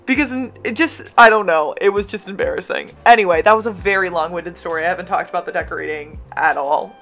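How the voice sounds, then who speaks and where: A woman's voice: fairly low pitch, fast talker, fairly bright tone. A young American woman with a slight lisp, giving a casual, rambling voiceover of her game build. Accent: General American. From United States. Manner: rambling, self-interrupting, laughs often.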